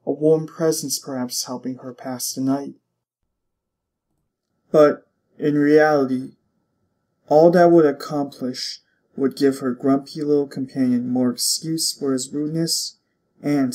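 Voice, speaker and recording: A man reading fiction aloud as a narrator, in an expressive, dramatic storytelling style. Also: mid-range voice, a little slow. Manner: expressive, literary storytelling delivery.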